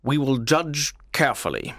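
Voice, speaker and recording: A man's voice: mid-range voice, very animated, normal speed, fairly bright tone, no echo, studio quality, pitched above the performer's own voice. A man voicing a stern, judgmental governor in an audiobook, speaking formally. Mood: judging. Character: stern, judgmental governor, a male authority figure. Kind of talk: audiobook character voice.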